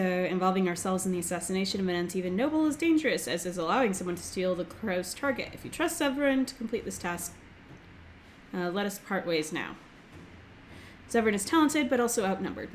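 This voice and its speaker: A young woman hosting a game let's play, slightly hoarse as she recovers from a throat infection, chatting casually and reading game text aloud. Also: medium pitch, slight background noise. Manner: reads game text aloud quickly, filler-heavy. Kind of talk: casual gameplay commentary.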